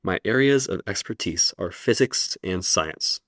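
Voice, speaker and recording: A man playing an elderly, kindly Oxford professor who speaks in a calm, measured, reassuring way in a radio play. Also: low voice, dry recording, studio quality, full character voice. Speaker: professor character.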